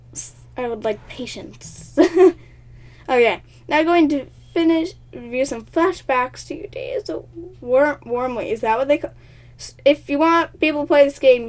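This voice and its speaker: Brief: high-voiced woman reading a game aloud, chatty and excitable, stumbles over words, laughs at herself. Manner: laughs often, talks at herself. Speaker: gaming channel host.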